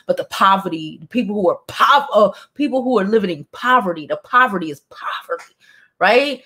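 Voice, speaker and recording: Blunt Brooklyn woman vlogger, lowish voice. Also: fairly bright tone. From Brooklyn, New York. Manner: blunt, profane, frequent sighs and asides. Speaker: celebrity news vlogger. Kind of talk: casual commentary, reading news aloud. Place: home studio with neon sign, indoors.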